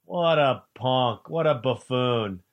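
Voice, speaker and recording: A man hosting a sports talk radio show, excitable and preachy, trash-talking like a devoted fan. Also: mid-range voice, slow pace.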